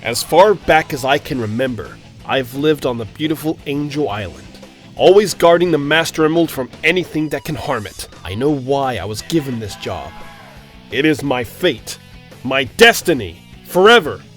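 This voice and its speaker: A man's voice: mid-range voice, lively intonation, normal speed, a bit bright, soft background music, close to an everyday voice. A man voicing a proud, determined echidna guardian hero, earnest and declamatory, in a fan audio drama. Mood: solemn resolve.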